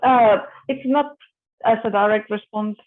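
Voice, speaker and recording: A woman's voice: mid-range voice, measured pace. A woman speaks as a panelist over a video call, and the audio sounds tinny and phone-like. She thinks aloud and often stops to restart her sentences. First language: Afrikaans. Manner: thinking aloud, hesitant with repeated starts.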